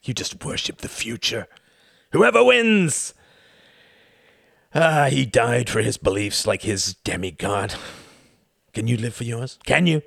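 A man voicing an older, cruel and mocking male Nazi count who shouts and cackles. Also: medium pitch, very animated, takes it slowly, gravelly, long pauses, slightly rough, no echo, clean studio sound, pitched above the performer's own voice, full character voice.

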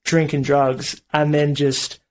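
A man's voice: fairly high pitch, flat delivery, slow. An Australian man, a band member, talking in a casual interview, hesitant and thoughtful. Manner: hesitant, thoughtful, lots of fillers.

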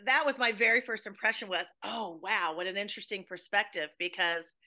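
Bright, emphatic American woman. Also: medium pitch, fairly quick. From United States. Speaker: guest playwright and educator. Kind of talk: casual interview chat.

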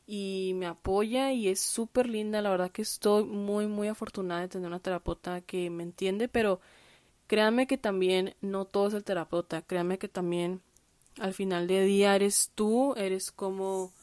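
A young woman speaks in a candid, chatty way, full of fillers and restarts. Her voice sits at an ordinary middle pitch. The recording is close and dry. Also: a little mellow, no room sound. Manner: candid, chatty, with frequent fillers and self-corrections. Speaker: podcast host. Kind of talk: casual personal monologue. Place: lives in the United States.

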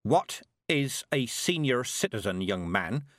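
A man's voice: medium pitch, sing-song, measured pace, dry recording. A man voicing a stern, proud old woman witch who talks in a cold, clipped, commanding way. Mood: icy.

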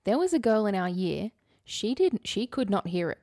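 Chatty young woman with a creaky voice. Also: mid-range voice, sing-song, normal speed, a little mellow, no room sound, clean studio sound. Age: late 20s to early 30s. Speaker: podcast co-host. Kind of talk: casual chatty storytelling.

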